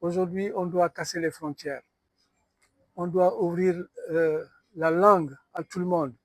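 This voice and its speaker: A man's voice: high voice, steady tone, unhurried. A man from Senegal, a sculptor guest in an interview, telling his story in an easygoing way with many hesitations. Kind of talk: conversational interview.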